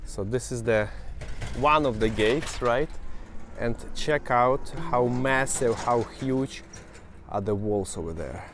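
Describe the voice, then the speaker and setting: A man's voice: medium pitch, takes it slowly, a bit bright, slight background noise. A man from Western Ukraine, a native Ukrainian speaker with a Ukrainian accent, giving casual travel commentary while walking outdoors and pointing things out to viewers. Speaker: travel vlogger. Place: outdoors walking through an old town.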